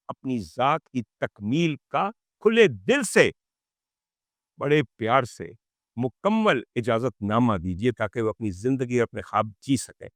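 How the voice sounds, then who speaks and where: A man giving an opinionated commentary to viewers, indignant and sarcastic, using rhetorical questions and pauses for emphasis. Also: medium pitch, no echo, clean studio sound. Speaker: commentary show host.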